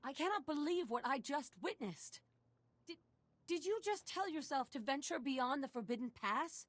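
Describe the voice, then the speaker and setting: A woman's voice: high-pitched, expressive, moderate pace, dry recording. A woman playing a fearful, deferential desert guide in a video game, speaking in an earnest, formal and dramatic way. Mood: alarmed, fearful.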